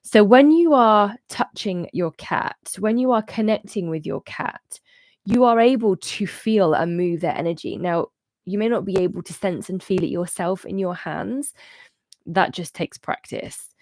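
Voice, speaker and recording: A woman, congested and a bit muffled, talking casually to viewers on a live stream from a bright room at home into a studio mic. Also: medium pitch, unhurried, no room sound. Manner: chatty, gesturing, rambling with restarts. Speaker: host of a cat energy channel. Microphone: studio microphone on arm in front of her.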